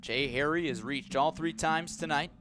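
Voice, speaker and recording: A man with an American accent calling live baseball play-by-play on the radio, measured between pitches and rising on big plays. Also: over music.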